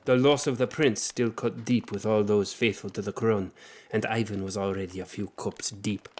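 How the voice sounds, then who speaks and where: An actor playing a seasoned, weary general who recounts memories in a measured, solemn way in a fantasy audio drama. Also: male voice, on the low side, steady tone, a little mellow, a little hiss or hum, natural voice. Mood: solemn reminiscing. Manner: measured, plain-spoken, solemn.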